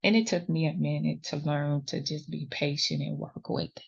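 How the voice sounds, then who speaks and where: A woman's voice: low voice, steady tone, normal speed, vocal fry, slightly rough, highs cut off. A woman hosting a crochet tutorial, talking patiently and reassuringly, counting stitches aloud, a little sniffly from a runny nose. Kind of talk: step-by-step crafting instruction.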